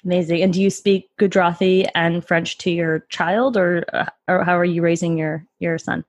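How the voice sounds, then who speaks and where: A woman's voice: fairly low pitch, fairly even intonation, normal speed, no echo. A woman hosting a podcast interview, upbeat and encouraging with her guests. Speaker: podcast host.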